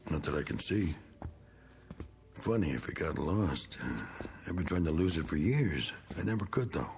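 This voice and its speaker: Gravelly, low American war veteran. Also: male voice, lively intonation, normal speed, darkish tone, full character voice. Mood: uneasy, casual. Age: middle-aged. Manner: folksy, taunting, slangy. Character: needling, bitter male war veteran, rough working man. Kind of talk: 1960s television drama acting.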